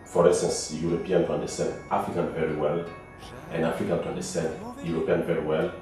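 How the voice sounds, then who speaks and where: A man's voice: rumbling low, level tone, fast talker, a little mellow, soft background music. A young man speaking to camera in an indoor room, hesitant and full of fillers and restarts. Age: young adult. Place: indoor room, plain wall. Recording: echoey room, mic at a distance.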